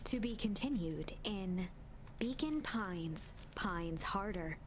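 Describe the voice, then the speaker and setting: A woman's voice: mid-range voice, lively intonation, takes it slowly, slight background noise. A woman playing a wry, opinionated narrator in a video game, reading the story in a dramatic storybook style. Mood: solemn, dramatic. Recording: music playing behind the voice. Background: game music.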